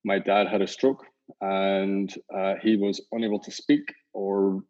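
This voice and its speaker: A middle-aged man from Northern Ireland with a Northern Irish accent, a company founder chatting in an interview, relaxed and rambling with many fillers. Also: deep voice, unhurried. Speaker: company founder and CEO, guest. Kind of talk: casual interview talk. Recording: dull lo-fi audio.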